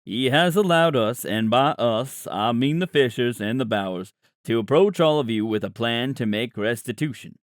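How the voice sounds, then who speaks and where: Man playing an earnest, measured father. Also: mid-range voice, animated, no room sound, studio quality, close to an everyday voice. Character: earnest father pleading a restitution plan. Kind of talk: audiobook character voice.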